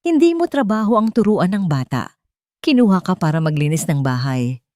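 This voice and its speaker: A woman's voice: on the low side, big pitch swings, moderate pace, warm tone, no room sound, studio quality. A woman voicing a stern older female head housekeeper who speaks with hard authority in a dramatic story. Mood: stern, scolding. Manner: hard, commanding.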